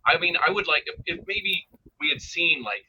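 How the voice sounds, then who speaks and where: Guy with a really bright edgy voice chatting through a review, bit muffled, some hiss. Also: a little high, expressive, normal speed. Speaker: podcast guest reviewer. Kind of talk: casual review discussion.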